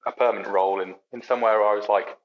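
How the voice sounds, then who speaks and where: A young man from the UK talks casually as a podcast guest. His stories ramble along through restarts and self-deprecating asides. His voice sits fairly low, and the recording sounds dull and lo-fi. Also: fairly even intonation, racing. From United Kingdom. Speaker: podcast guest, racing engineer. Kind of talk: casual chat.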